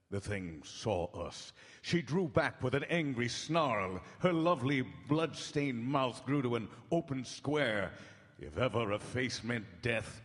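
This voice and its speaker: An actor playing a grave doctor, recounting horrors from his journal in measured, dramatic radio-drama phrasing. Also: mid-range voice, breathy edge. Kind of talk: live radio drama narration in 1930s-40s manner. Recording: live stage broadcast in reverberant hall.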